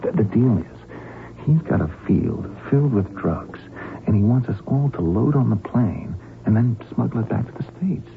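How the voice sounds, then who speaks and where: An actor playing a wary, hard-headed pilot in an old radio drama, terse and sardonic. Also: male voice, fairly low pitch, expressive, normal speed. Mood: grim, confiding. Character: wary, hard-headed airline captain held by hijackers. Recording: tinny band-limited old radio recording, clear noise.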